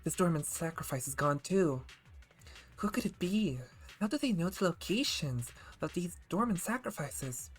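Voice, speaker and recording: A man's voice: high-pitched, expressive, moderate pace. A man livestreaming a game, reacting casually and reading on-screen text aloud. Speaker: game streamer. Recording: faint game music behind.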